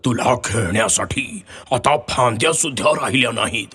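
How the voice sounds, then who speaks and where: A man voicing a kind, selfless old tree in a children's story, speaking gently and pleadingly. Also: mid-range voice, lively intonation, moderate pace, husky, exaggerated voice. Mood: sorrowful, weary. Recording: music behind the voice.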